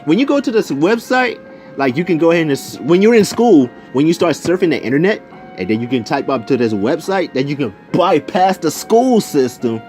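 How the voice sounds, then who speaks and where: A man's voice: fairly high pitch, brisk, soft background music. A young man chatting casually in a slangy storytime style, often turning to address his listeners directly. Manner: slangy, animated, conversational asides to listeners. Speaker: YouTube storyteller.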